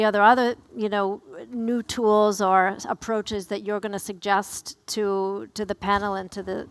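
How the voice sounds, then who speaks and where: A woman's voice: medium pitch, no room sound. Woman moderating a panel, dry sound. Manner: rambling, with repeated words.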